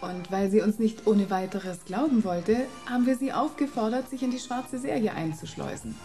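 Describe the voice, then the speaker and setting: Composed woman, played by an actress, mid-pitched. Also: normal speed, mellow, natural voice. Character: composed female companion of a private detective. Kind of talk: German radio crime drama acting. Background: music.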